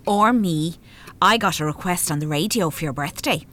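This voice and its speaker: A woman's voice: on the low side, moderate pace, fairly bright tone, natural voice. A woman with an Irish accent playing a greedy, blunt yet cloying grown-up daughter in a radio play. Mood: cloying, probing. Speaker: radio drama actor.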